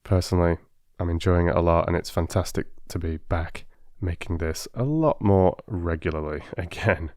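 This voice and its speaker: A man speaking as an audiobook narrator and producer, in a measured, courteous storytelling style. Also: sits low, a little mellow, no room sound, clean studio sound. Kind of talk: measured storytelling and listener address.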